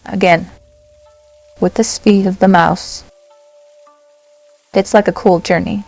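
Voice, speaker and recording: A woman narrating a software tutorial step by step, in short, simple instructional phrases. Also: medium pitch, steady tone, takes it slowly, faint music behind, poor audio.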